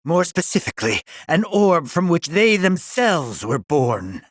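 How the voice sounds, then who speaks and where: An actor playing a pompous middle-aged mad scientist in a video game, sinister and gloating, drawling his lines with relish in a raspy voice. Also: on the high side, big pitch swings, unhurried, dry recording, clean studio sound. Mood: morbid fascination. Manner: pompous, drawling, relishing menace with sly chuckles. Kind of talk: theatrical video game villain acting.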